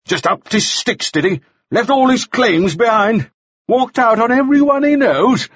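Furious, bullying mining boss for an audiobook, acted by a man; snarling, sarcastic, husky, wide-ranging delivery. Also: a little high, very animated, a little slow, a bit bright, muffled recording, pitched above the performer's own voice, full character voice. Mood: sarcastic, menacing. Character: furious, bullying gold-rush magnate, menacing man with pistol. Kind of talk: audiobook character voice.

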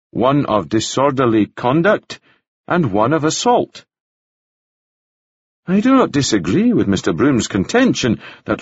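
A man's voice: mid-range voice, slightly rough, dry recording, lo-fi sound, voice pushed up. A man voicing an earnest male defense lawyer giving formal, rhetorical courtroom oratory.

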